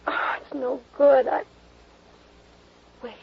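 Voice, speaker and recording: A dazed, frightened suspect. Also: female voice, sits high, level tone, creaky, breathy edge, heavily acted. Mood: dazed, shaken. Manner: halting, hazy, trailing off. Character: dazed, frightened young woman suspected of murder. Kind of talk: 1950s radio drama acting. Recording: dull old radio broadcast recording.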